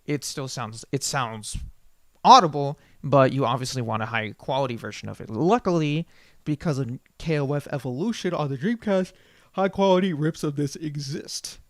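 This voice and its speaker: A man presenting an unscripted software tutorial in an informal, rambling way, often correcting himself as he goes. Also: fairly high pitch, normal speed, no echo, clean studio sound.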